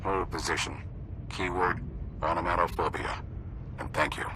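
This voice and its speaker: An actor playing a confident spymaster in a video game, briefing a covert squad with authority. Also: male voice, sits low, fairly even intonation, normal speed, background noise, close to an everyday voice. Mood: cool, firm.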